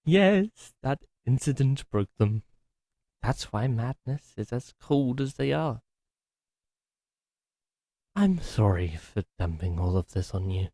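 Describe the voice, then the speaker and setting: A man voicing a gentle, timid masked servant to a cruel duke, speaking softly, formally and with hesitation. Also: medium pitch, big pitch swings, slow, pauses often, dry recording, clean studio sound, full character voice. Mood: confessing. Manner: soft, hesitant, formal and polite. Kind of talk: ASMR roleplay acting.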